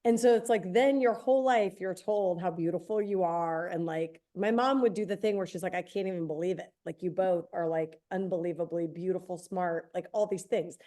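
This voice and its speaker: A woman in her forties co-hosting a podcast, hoarse today, chatting casually with quick self-deprecating asides. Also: on the low side, dark tone. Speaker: podcast co-host. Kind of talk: casual chat.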